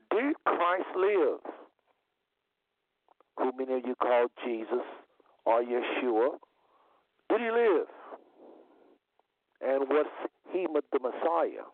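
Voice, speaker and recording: Thin phone-quality audio, dry, man, slightly under-the-weather voice, deliberate radio host, repeats phrases for emphasis. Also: mid-range voice, no room sound. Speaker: talk show host. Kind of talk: unscripted call-in religious talk show hosting.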